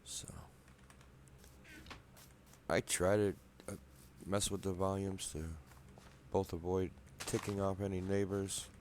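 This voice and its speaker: Soft-spoken deep man with a slight cold. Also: flat delivery, slow pace, pauses often, a little hiss or hum. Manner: soft-spoken, rambling, self-deprecating. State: slight cold, a bit wheezy. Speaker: gameplay video maker. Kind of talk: casual let's play commentary. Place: apartment room at night.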